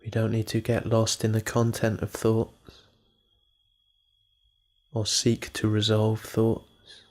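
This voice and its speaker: Evenly pitched man guiding meditation. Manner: calm, measured, with unhurried pauses. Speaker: meditation guide. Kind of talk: guided meditation narration.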